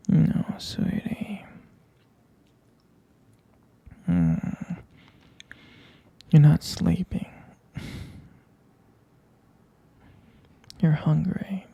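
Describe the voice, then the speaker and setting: A man playing a doting, gently teasing boyfriend in an ASMR roleplay, murmuring tenderly and hesitantly in a breathy, gravelly whisper. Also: fairly high pitch, dry recording, heavily acted. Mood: gentle, caring. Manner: slow, tender, hesitant murmuring with pet names.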